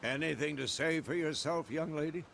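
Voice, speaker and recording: An actor playing a stern older male witcher mentor who lectures a young trainee, with a gravelly voice and faint game ambience. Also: fairly high pitch, a little fast, a little hiss or hum. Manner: stern, scolding, lively. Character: gruff old male witcher mentor, stern but fond.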